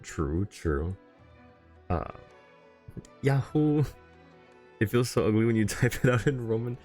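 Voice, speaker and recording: A man's voice: medium pitch, very animated, slow pace, darkish tone. A man doing casual, chatty livestream commentary on a game, reacting and replying to his chat. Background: faint game music.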